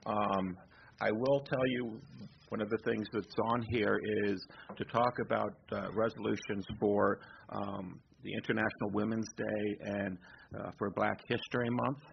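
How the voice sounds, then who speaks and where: A man chairing a committee meeting, relaxed and hesitant with frequent ums, recorded in a meeting room. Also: lowish voice, unhurried, gravelly, slight background noise. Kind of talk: running a meeting. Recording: dull lo-fi recording.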